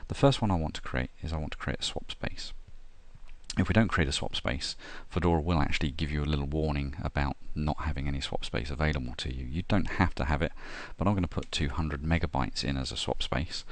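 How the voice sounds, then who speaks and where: A middle-aged man talking through a software install, step by step, with frequent ums and uhs. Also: very deep voice, a little fast, no echo. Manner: steady step-by-step explaining with frequent "uh" and "um". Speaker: software tutorial presenter. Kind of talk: screen-recorded tutorial narration.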